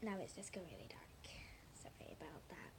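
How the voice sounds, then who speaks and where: A woman chatting casually and haltingly through a hands-on demonstration for viewers, with a hoarse, breathy voice that keeps thinning into a whisper. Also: deep voice, big pitch swings. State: hoarse, losing her voice. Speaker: slime video maker talking to viewers. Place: at home indoors. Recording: noisy amateur home recording.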